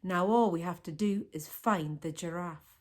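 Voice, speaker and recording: A woman's voice: lowish voice, unhurried, lightly acted. Woman reading as a determined, gloating male hunter. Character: determined, crowing male poacher hunting a giraffe.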